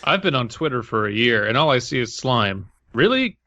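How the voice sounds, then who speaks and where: A man's voice: mid-range voice, sing-song, normal speed, bright, forceful tone, dry recording, lo-fi sound. A man co-hosting a podcast, chatting and critiquing a story casually. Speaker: podcast co-host. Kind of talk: casual commentary and banter.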